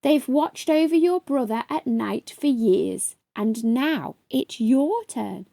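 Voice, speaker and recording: A woman voicing a kindly, reassuring father in a children's storybook, explaining things gently and patiently. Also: high-pitched, expressive, slow, gravelly, no echo, heavily acted.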